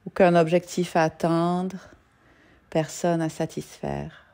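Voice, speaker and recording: A woman yoga nidra teacher leading a guided meditation in a gentle, soothing, soft-spoken manner with long pauses. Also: lowish voice, fairly even intonation, vocal fry.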